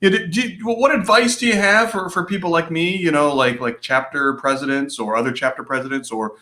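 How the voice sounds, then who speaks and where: A man's voice: fairly high pitch, fairly quick, bright, forceful tone. An American man hosting a casual moderated conversation, asking loosely phrased questions that circle before they land. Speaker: interview host, state chapter president.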